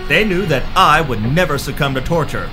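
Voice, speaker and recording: An actor playing a self-important, callous pulp space hero in a retro radio-serial parody, speaking dramatically. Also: male voice, fairly high pitch, lively intonation, normal speed, over music, natural voice. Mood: matter-of-fact.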